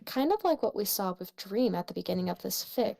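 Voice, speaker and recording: A woman's voice: medium pitch, sing-song, fairly quick, vocal fry, mellow. A young woman co-hosting a fan fiction reading, giving casual, blunt commentary in informal interjections. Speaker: co-host critiquing fan fiction.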